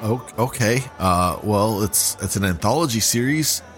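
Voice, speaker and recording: A man's voice: fairly low pitch, animated, a little slow, fairly bright tone, soft background music. A man speaking as a podcast host in a scripted interview, earnestly explaining his show. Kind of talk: scripted audio drama interview.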